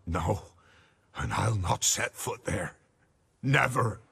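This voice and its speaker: An actor playing a fearful, stubborn villager in a video game, with a husky, creaky voice. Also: male voice, fairly low pitch, expressive, slow, pushed and bright, heavily acted. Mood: fearful refusal.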